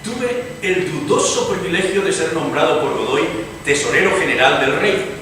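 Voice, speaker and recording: A man playing an earnest liberal Spanish statesman in a dramatized reading, formal, courteous and measured. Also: a little high, lively intonation, sharp tone. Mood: reflective, courteous. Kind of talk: dramatized radio theatre reading. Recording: reverberant noisy hall, far from mic.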